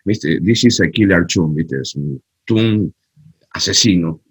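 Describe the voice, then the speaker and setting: A man with an Argentine accent, a guest telling animated, opinionated stories in a casual interview chat. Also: deep voice, takes it slowly, breathy edge, dry recording. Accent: Argentine Spanish. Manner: animated storyteller, frank and opinionated. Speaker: guest, cumbia festival creator.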